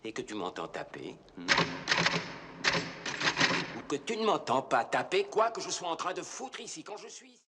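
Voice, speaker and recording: An actor playing an irritable, controlling writer who speaks in clipped, condescending, emphatic phrases on a noisy film track. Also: male voice, fairly high pitch, wildly swooping pitch, moderate pace, pauses often. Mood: seething, sarcastic. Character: irritable controlling male writer, bitterly sarcastic, simmering with rage.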